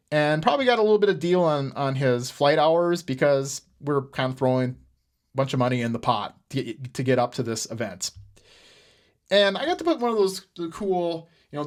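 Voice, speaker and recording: A middle-aged man with an American accent giving unscripted podcast commentary in an earnest, halting manner, often repeating words. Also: a little high. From United States. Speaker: podcast host. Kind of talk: unscripted political commentary with personal stories.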